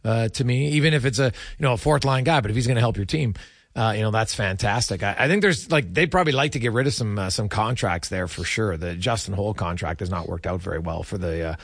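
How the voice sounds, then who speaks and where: A man's voice: on the low side, quick pace, husky, dry recording, clean studio sound. A man hosting a sports show, chatting about hockey in an informal, chummy, conversational way. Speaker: sports radio host. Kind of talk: casual hockey talk.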